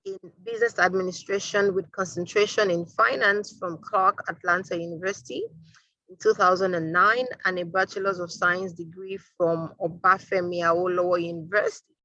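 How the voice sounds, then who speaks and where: A woman hosting a live online interview, upbeat and lively, with fillers. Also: fairly low pitch, normal speed, dry recording, lo-fi sound. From Nigeria. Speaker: host.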